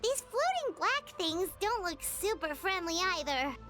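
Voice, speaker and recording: Childlike game mascot, squeaky, bright, animated, excitable, whiny, faint music behind. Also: female voice, measured pace, heavily acted. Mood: worried. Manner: squeaky, whiny, refers to herself in third person. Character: tiny childlike floating companion girl, excitable and easily scared. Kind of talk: English video game voice acting.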